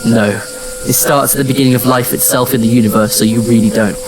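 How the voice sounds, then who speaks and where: A man's voice: medium pitch, fairly even intonation, quick pace, close to an everyday voice, rough recording. An actor playing a wry, patient adventurer who narrates his own story in an audio drama. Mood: reflective, patient. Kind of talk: scripted first-person audio drama narration and dialogue. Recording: music under the voice. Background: music.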